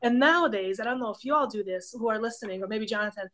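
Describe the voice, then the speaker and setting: A woman's voice: on the high side, fast, fairly bright tone. A woman in her thirties speaks with a Hungarian accent, explaining ideas patiently and listing one example after another. She talks over a webcam on a video call from a book-lined home office. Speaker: professor of pastoral theology, guest. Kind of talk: casual interview conversation.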